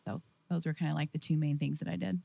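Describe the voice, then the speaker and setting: A young woman, a church staff member, giving soft, easygoing interview answers. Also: low-pitched, fairly even intonation, fast, gravelly, no echo. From Pismo Beach, California. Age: young adult.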